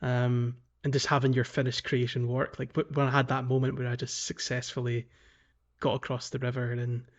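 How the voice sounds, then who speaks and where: A man's voice: mid-range voice, monotone, moderate pace, lo-fi sound. A man co-hosting a gaming podcast in a casual, conversational way. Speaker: podcast co-host. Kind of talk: casual video game discussion.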